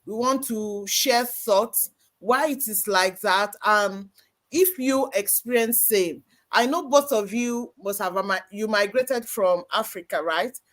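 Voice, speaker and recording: A church live-stream host guiding a panel discussion. The voice sits at a middle pitch, and the delivery is conversational and explanatory, full of "you know" asides. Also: takes it slowly, a bit bright. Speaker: host, moderator.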